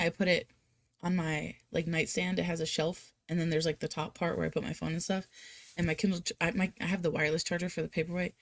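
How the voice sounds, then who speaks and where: A woman's voice: lowish voice, no echo. A woman with a Rhode Island accent hosting a casual live chat, talking in a chatty, self-deprecating, giggly and playfully dramatic way. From United States, Rhode Island. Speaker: live stream host of reading sprints.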